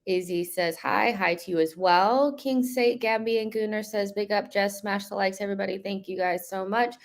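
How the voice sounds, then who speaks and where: A woman's voice: medium pitch, steady tone, moderate pace. A young woman hosting a casual live stream, chatty and upbeat, with many fillers. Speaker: host.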